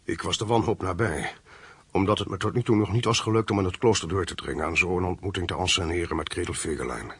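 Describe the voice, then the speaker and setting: A man's voice: low-pitched, quick pace, fairly bright tone, breathy edge, dry recording, heavily acted. An actor playing a cold mercenary hitman who narrates in the first person in a radio drama. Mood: tense, reflective. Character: cold mercenary hitman recounting his work.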